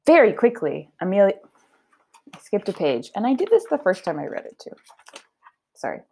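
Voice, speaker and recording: A woman teaches children through a read-aloud, thinking out loud as she goes. Her mid-range voice is very animated, and her delivery is conversational and explanatory. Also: mid-range voice, normal speed, creaky, slightly rough. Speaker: elementary teacher.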